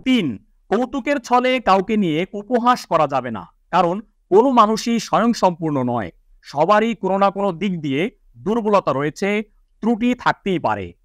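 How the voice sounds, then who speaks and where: Guy with a pretty high voice doing a formal islamic talk to camera, teacherly, dry close sound. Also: big pitch swings, normal speed, dry recording. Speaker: presenter of an Islamic educational video. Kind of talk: religious lecture to viewers, citing hadith and scripture.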